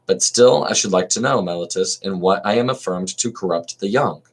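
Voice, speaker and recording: A man reading aloud as an old male philosopher defending himself at trial, in an even reading voice with small restarts. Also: sits low, a little fast, poor audio. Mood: defiant.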